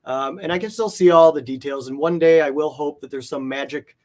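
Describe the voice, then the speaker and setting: A man's voice: on the high side, fairly quick, darkish tone. Casual, hesitant man chatting. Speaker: guest, comic art collector. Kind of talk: casual interview chat.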